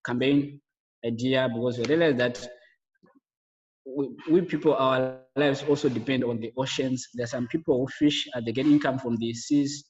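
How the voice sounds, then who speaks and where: A young man walks through his slides on a video call, hesitant and full of filler sounds. His voice stays flat and level. The audio is dull and lo-fi, with a roomy echo. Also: mid-range voice, normal speed, slight background noise. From Zimbabwe. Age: young adult. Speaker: project co-founder presenting. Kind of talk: webinar slide presentation. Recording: echoey, dull lo-fi webinar audio.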